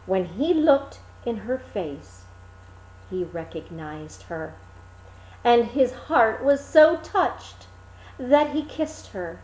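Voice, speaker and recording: A woman reading a fairy tale as an expressive storyteller, in a theatrical, deliberate manner. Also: fairly high pitch. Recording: roomy, echoing room.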